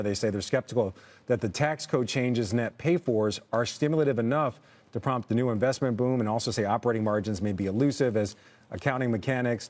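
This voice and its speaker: A man anchoring a live business news interview, reading out long quotes and asking pointed questions. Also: medium pitch.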